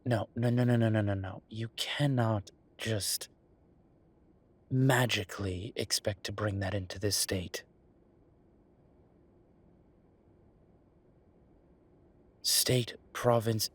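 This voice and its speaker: A man playing an exasperated, firm werewolf bureaucrat in an audio roleplay, talking emphatically in a dry, husky voice. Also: on the low side. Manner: exasperated, emphatic, repeating himself. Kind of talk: audio roleplay acting, one side of a phone call.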